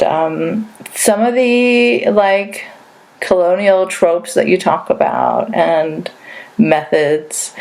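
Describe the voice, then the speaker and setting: A woman's voice: fairly low pitch, darkish tone, rough recording. A woman who grew up in Saudi Arabia, hosting a podcast interview in a chatty, casual way full of "like" fillers. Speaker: podcast host.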